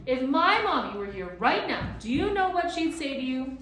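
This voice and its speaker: An indignant little girl, put on by a woman reading aloud, scolds in a raised, sharp voice. The very echoey room lingers behind each word. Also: a little high, sing-song, measured pace, far from the mic.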